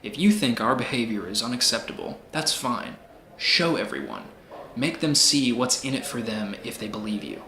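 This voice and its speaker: A man playing a smug, philosophizing tycoon running for president in an audio drama, measured and condescending. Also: mid-range voice, big pitch swings, creaky, pushed and bright, roomy sound, a little hiss or hum, full character voice, rough recording. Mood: persuasive, commanding. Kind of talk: amateur audio drama acting.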